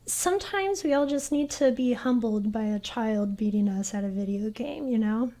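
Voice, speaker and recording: A young woman streaming a game, chatting in a soft, hesitant way with lots of fillers. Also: on the high side, normal speed. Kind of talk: casual gaming stream chat.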